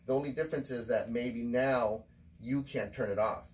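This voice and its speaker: A man's voice: mid-range voice, steady tone, moderate pace, darkish tone. A man in his forties hosting a casual podcast chat, blunt and profane, lecturing his co-host with insistent repetition. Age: 40s.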